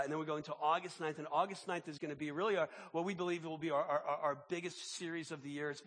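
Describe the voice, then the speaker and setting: A man preaching as pastor in a church, sick, with his voice deeper than normal, repeating and restarting words as he pleads with the congregation. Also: a bit bright, in a large hall. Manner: repetitive restarts, emphatic pleading. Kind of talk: sermon with opening prayer and announcements. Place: large church auditorium.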